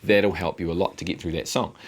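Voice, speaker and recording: A man in his thirties with a low baritone voice, casually teaching chords in a studio guitar lesson. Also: steady tone, fast, noisy background. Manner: friendly, explains chords while strumming and singing snippets. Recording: acoustic guitar playing under voice.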